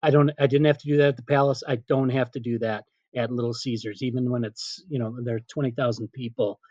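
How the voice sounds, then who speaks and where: A middle-aged man, a PA announcer being interviewed, telling casual anecdotes in an animated way and quoting remembered dialogue. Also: mid-range voice, fast talker, no room sound, highs cut off. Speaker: stadium PA announcer, interview guest.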